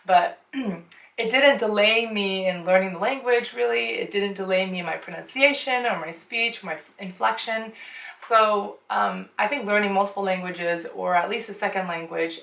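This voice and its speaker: A woman's voice: medium pitch, moderate pace, creaky, fairly bright tone. A woman from the United States whose first language was Chinese, giving earnest parenting advice in a casual vlog, with frequent ums. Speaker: parent and show host. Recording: roomy echo, mic at some distance.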